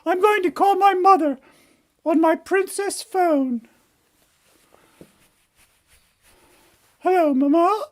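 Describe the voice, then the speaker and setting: A man's voice: very high-pitched, measured pace, voice pushed up. A man voicing a spoiled, gushing young princess in a comic fairy tale, with a singsong, whiny manner. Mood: dramatic.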